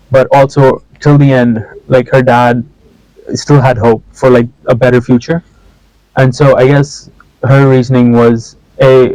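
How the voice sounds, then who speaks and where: Man guest, monotone delivery, dry close sound, methodical. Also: mid-range voice, dry recording, rough recording. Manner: measured, reasoning step by step, says "like" often. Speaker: podcast guest. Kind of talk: casual book discussion.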